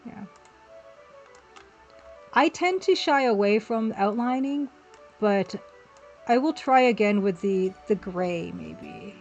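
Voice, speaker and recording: A woman's voice: mid-range voice, lively intonation, slow pace, gravelly, long pauses, a little mellow, muffled recording. A woman hosting an art livestream, chatting informally and thinking aloud as she works and replies to chat. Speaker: livestream host and pixel artist. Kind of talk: casual chat while drawing on a livestream. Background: music.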